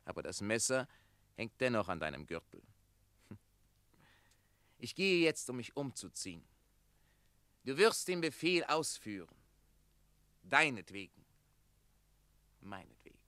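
A smug, taunting schemer in a radio drama. The delivery is wheedling and very animated, with wide swings of pitch, recorded close and dry. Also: male voice, measured pace, long pauses, dry recording. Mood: wheedling, commanding. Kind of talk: German radio play acting.

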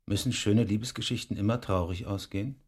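A very low-pitched male detective from the countryside, in a radio drama. He speaks as a plain, wry policeman who is used to being the boss. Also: fairly even intonation, fairly quick, a little mellow, breathy edge. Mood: gently teasing. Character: flirtatious rural police detective, brusque boss, narrator of the tale. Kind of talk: German radio drama acting.

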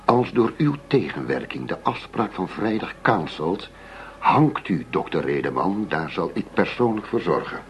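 An actor in a Dutch radio play playing a blustering, fed-up police chief who talks bluntly, with sarcasm and combative demands. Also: male voice, deep voice, sing-song, moderate pace. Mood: angry, demanding. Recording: dull, muffled radio drama recording.

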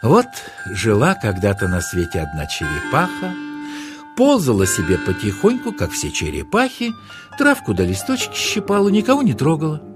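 A man narrating a children's nature tale as an expressive storyteller, confiding and theatrical. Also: mid-range voice, normal speed, over music.

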